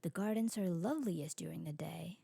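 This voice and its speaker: A woman playing an elegant, sociable vampire aristocrat in an ASMR roleplay, refined and formal, with a soft husky voice. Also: lowish voice, moderate pace, no room sound, studio quality, full character voice. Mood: gracious. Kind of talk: scripted ASMR roleplay acting.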